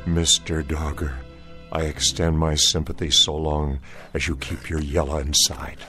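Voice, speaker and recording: An actor playing a stern, resolute Confederate cavalry soldier in a radio drama, with a folksy, commanding Southern US accent. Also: male voice, a bass voice, flat delivery, unhurried, bright, forceful tone, soft background music, full character voice.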